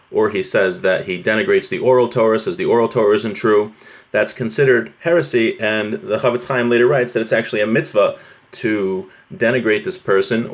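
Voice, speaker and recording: A man with a fairly low voice leads an informal Torah class. He reads from a text and explains it in a conversational way, turning often to his listeners to check they follow. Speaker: religious teacher giving a class.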